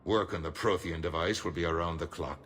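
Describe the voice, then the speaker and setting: An actor playing a shrewd middle-aged politician in a video game, speaking in a measured, oratorical and emphatic way, grave and defiant. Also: male voice, deep voice, fairly even intonation, a bit bright. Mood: resolute. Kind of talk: cinematic game dialogue.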